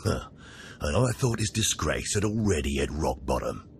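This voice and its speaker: An actor playing a wry, contemptuous older Sith jailer in a video game, with a sardonic drawl. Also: male voice, a bass voice, very animated, normal speed, creaky, a little hiss or hum, heavily acted. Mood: wry contempt. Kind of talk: video game cutscene acting.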